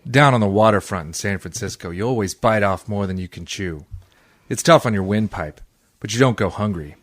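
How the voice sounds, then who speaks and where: A man acting a cynical, wisecracking private eye in an old-time radio detective drama, with a dry deadpan delivery. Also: lowish voice, brisk, no echo, natural voice. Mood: wry, world-weary. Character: hard-boiled, wisecracking male private eye and odd jobber.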